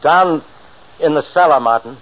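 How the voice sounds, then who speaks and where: An actor playing a grave, measured middle-aged doctor in a 1940s radio drama, speaking in a solemn, deliberate, ominous way. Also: male voice, on the high side, fairly bright tone, lightly acted. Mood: somber. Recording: muffled, hissy old radio recording.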